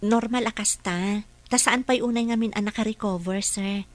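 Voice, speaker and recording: A woman playing a gentle, patient working mother in an audio drama, polite and soothing, with a Filipino accent. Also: mid-range voice, fairly even intonation, moderate pace, lightly acted. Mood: apologetic, patient.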